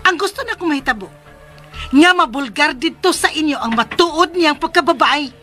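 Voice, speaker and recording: A woman's voice: high-pitched, expressive, moderate pace, sharp tone, faint music behind. A woman playing a jealous, scheming and demanding live-in girlfriend in a radio drama, confrontational and pleading by turns. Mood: jealous, spiteful. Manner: confrontational, insistent, emotionally pleading. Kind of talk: Philippine radio drama acting.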